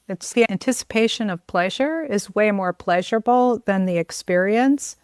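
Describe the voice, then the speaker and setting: A woman's voice: mid-range voice, moderate pace, smooth, dry recording, studio quality. A woman with an American accent hosting a podcast, speaking conversationally as she interviews a guest. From United States. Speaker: podcast host.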